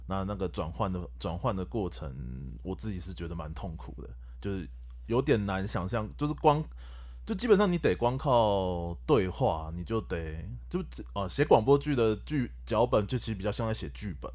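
A man with a very low voice talks about writing scripts, hesitant and wry. His pitch swings widely as he speaks. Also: animated, normal speed, a little mellow. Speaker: script writer guest. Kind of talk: casual podcast chat.

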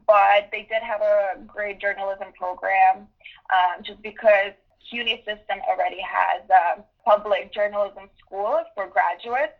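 A woman in her twenties, from Ukraine, answering interview questions with many pauses and restarts. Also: mid-range voice, fairly even intonation, creaky, phone-quality sound. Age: 20s. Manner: thoughtful, with many ums and restarts. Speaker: guest journalist.

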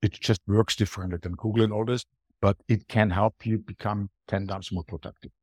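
A middle-aged or older Austrian man speaks in a low voice with a dry, close sound. His accent is that of a native German speaker. He explains things emphatically and conversationally, as a guest in an interview, often repeating himself for stress. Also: steady tone, fairly quick, husky, dry recording. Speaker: podcast guest, booking strategist.

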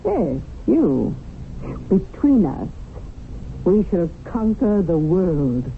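Female schemer, lowish voice, unhurried and composed, on muffled, slightly noisy vintage radio. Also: gravelly, full character voice. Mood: calm, scheming. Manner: calm, deliberate. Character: cool, self-possessed, ambitious scheming woman. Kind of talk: 1970s radio drama acting.

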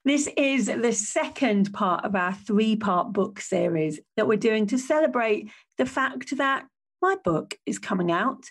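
A woman hosting her own business podcast, with a slightly croaky voice and a chatty, self-correcting way of talking. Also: mid-range voice. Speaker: podcast host.

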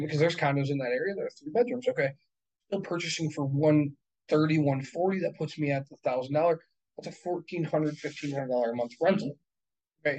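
A man in his thirties, a real estate investor, talking casually and bluntly, swearing and working through numbers out loud. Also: on the high side, low-quality recording. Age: 30s. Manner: profane, blunt, works through numbers aloud. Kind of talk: casual podcast chat.

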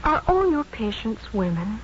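An actress playing a distraught, vulnerable young woman patient in a 1950s radio drama, speaking in an emotional, halting way. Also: fairly high pitch, big pitch swings. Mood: wistful. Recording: muffled, faintly noisy 1950s radio broadcast.

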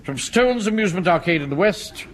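Pompous middle-aged English bank manager, self-important, animated delivery, old radio sitcom with background noise. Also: male voice, sits high, fairly quick. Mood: blustering, deferential. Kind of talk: vintage British radio comedy acting. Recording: noisy old radio broadcast recording.

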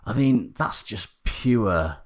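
A man's voice: fairly low pitch, expressive, slow. A man hosting a podcast, chatting in a wry, casual way and swearing now and then. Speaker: podcast host.

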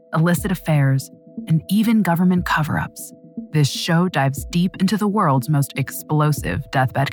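A woman's voice: low-pitched, darkish tone. A woman hosting a true crime podcast, reading a scripted, dramatic story.

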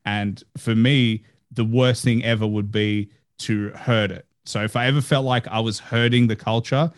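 A man's voice: lowish voice, fairly even intonation, moderate pace. A man hosting a podcast interview, chatting in an earnest way with frequent "like" fillers. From Australia. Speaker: podcast host. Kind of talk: casual interview chat.

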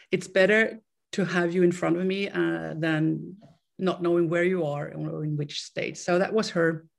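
A woman in her fifties from Sweden with a Swedish accent, a guest telling her story in a casual interview, halting and repeating words. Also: on the low side, vocal fry.

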